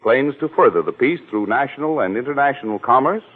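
A man's voice: medium pitch, expressive, brisk. A man announcing in a formal, declamatory 1940s radio style, reading a scripted message. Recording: muffled, narrow-band 1940s radio recording.

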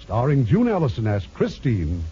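A man hosting a radio theatre, giving a formal, deliberate scripted introduction in 1950s style. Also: mid-range voice, huge pitch swings, mellow, slightly rough. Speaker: radio theatre host and producer. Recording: muffled 1950s radio broadcast.

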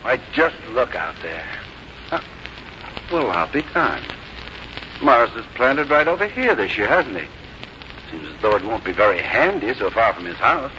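Devoted grown farm son, American, folksy and boyish, lively swooping delivery, muffled old radio-play recording. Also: male voice, fairly low pitch, moderate pace, pauses often, background noise. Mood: hearty, boyish. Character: easygoing, affectionate grown son of a farm woman. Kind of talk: 1950s American radio drama acting.

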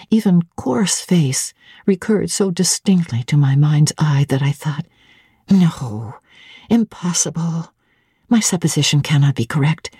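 Dark, low-voiced woman narrating classic literature. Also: slightly rough, no room sound, clean studio sound. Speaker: audiobook narrator. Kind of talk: dramatic literary reading.

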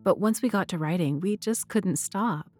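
A woman with a fairly low voice hosts and narrates a fiction podcast. Her delivery is scripted storytelling, with faint music playing behind her. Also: moderate pace, a little mellow.

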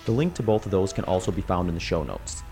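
A man's voice: low voice, lively intonation, quick pace, a little mellow. A man reading a scripted podcast introduction as the announcer. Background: guitar music.

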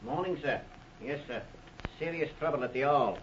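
An actor playing a deferential but grudging police superintendent in an old radio drama, stiff and halting. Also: male voice, fairly high pitch, a little hiss or hum, close to an everyday voice. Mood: grave. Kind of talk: 1940s radio drama acting. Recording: muffled old radio broadcast recording.

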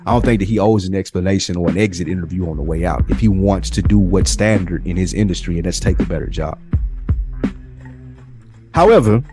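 A man with a low voice co-hosts a casual, opinionated podcast. He talks informally and stresses points by repeating them. Music plays clearly behind him. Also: brisk. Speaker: podcast co-host. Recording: music bed under the voice.

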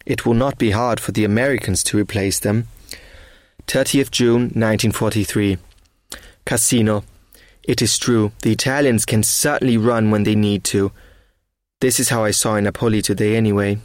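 Wartime audio drama: man to play German officer diarist, dry and matter-of-fact, fairly deep, very dry acoustic. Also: steady tone, normal speed, no room sound, natural voice. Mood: resigned, wry. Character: weary, sceptical German army officer writing a war diary. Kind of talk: read-aloud wartime diary, measured dramatic narration.

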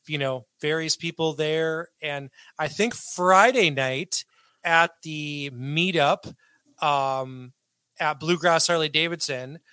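A man's voice: fairly high pitch, sharp tone, clean tone, no echo. A man hosting a casual podcast, loose and joking with crude humor. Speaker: podcast host.

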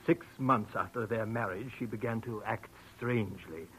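An actor playing an earnest, anxious nursing-home doctor, formal and precise, in a 1940s radio drama with old-recording noise. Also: male voice, on the low side, moderate pace, fairly bright tone, breathy edge, low-quality recording. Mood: worried. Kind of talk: 1940s radio detective acting.

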